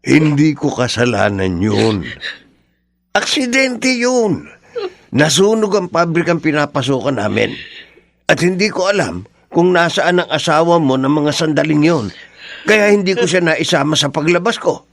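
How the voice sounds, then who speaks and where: A middle-aged father in a radio drama, worn down and aggrieved. His rather high voice has a gravelly creak and swings widely as he pleads his case in a dry, close sound. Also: sing-song, a little slow, no room sound. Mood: pleading, anguished. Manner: pleading, emotional. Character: aggrieved jobless father, burn-scarred, pleading his innocence.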